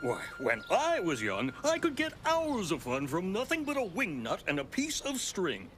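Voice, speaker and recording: A man's voice: high-pitched, huge pitch swings, normal speed, fairly bright tone, slight background noise, exaggerated voice. A man voicing an earnest, nostalgic suburban dad in a children's cartoon. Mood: indignant, nostalgic.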